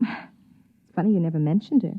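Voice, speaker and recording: An actress playing a worried, determined pilot's wife in 1950s American radio drama style. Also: female voice, fairly low pitch, moderate pace, husky. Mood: curious, jealous. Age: adult. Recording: muffled vintage 1950s radio recording.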